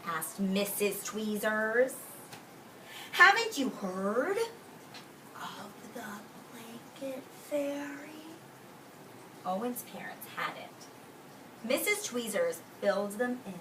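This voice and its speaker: Recorded at a distance with faint noise, a woman preschool teacher reads a storybook aloud in a slightly high, bright voice. She is expressive and deliberate, stretching key words and pausing to explain things simply to small children. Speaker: preschool teacher reading a picture book aloud. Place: indoors in a classroom with young children. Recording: roomy distant mic with faint background noise.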